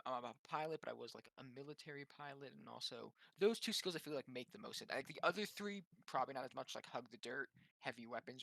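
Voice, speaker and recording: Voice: medium pitch, gravelly, fairly bright tone, no room sound. A tabletop roleplaying game player chatting casually about the game. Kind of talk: casual chat during an online tabletop game.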